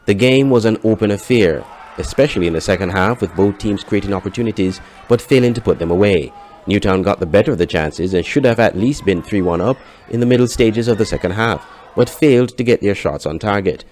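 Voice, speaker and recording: A man's voice: fairly low pitch, brisk, darkish tone. A man with a Caribbean accent reading a scripted sports news report as a newsreader.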